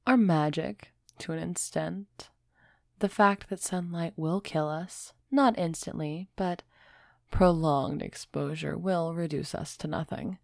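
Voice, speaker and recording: A woman playing a refined, centuries-old female vampire in an intimate audio roleplay, gently imperious and softly teasing as she speaks straight to the listener. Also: fairly low pitch, gravelly, no room sound, studio quality. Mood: candid, measured. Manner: slow, measured, softly teasing, addressing listener directly.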